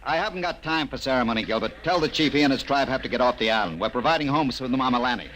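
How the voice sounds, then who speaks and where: An actor playing a gruff, impatient American army general in old-time radio drama, barking and dismissive in his commands. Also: mid-range voice, expressive, very fast, natural voice. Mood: impatient, sardonic. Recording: noisy vintage radio recording.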